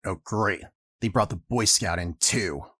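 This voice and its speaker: Man playing a deep, husky, bitter ex-soldier. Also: measured pace, a bit bright, dry recording, studio quality. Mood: sarcastic, annoyed. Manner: sarcastic, surly, dismissive. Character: bitter, disheveled, angry ex-army captain. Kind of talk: audio drama acting.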